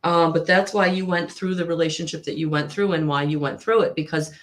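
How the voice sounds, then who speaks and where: Husky-voiced woman giving a tarot reading. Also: sits low, fairly even intonation, brisk. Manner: soothing, repetitive, frequent ums. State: hoarse from a recent illness. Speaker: tarot reader. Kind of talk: live tarot reading to viewers.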